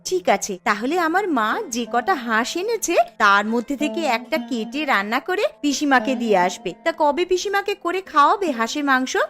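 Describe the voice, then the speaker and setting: A woman voicing a wife in a Bengali cartoon, with a sharp, scolding manner. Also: expressive, normal speed, few pauses, soft background music. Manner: sharp, scolding, high-pitched.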